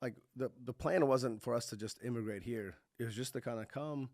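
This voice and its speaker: A man from Kazakhstan who grew up partly in Texas and is not a native English speaker, talking casually as an interview guest in a halting, self-correcting way. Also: medium pitch, vocal fry, a little mellow, no room sound, clean studio sound. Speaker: podcast guest, tech entrepreneur.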